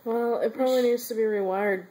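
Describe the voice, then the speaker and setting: A woman's voice: fairly high pitch, brisk, mellow, poor audio. Woman patiently explaining at home. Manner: patient, explaining, laughs often. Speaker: mother and vlogger. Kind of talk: casual family chat. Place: home room with an ant farm. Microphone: phone camera.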